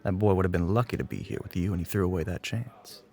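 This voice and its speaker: A man playing a smug, flirty, middle-aged bartender with a Southern US accent in an intimate ASMR roleplay, smooth-talking and often dropping toward a whisper. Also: low-pitched, fast, warm tone. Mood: earnest, coaxing. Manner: drawling, smooth-talking, pet names, often breathy near-whisper.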